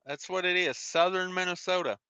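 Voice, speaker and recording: A man's voice: sits high, fairly even intonation, brisk, bright, forceful tone, smooth, no room sound. An American man hosting a casual livestream, reading out viewer comments and replying in a folksy, rambling, colloquial way. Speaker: livestream host, truck driver.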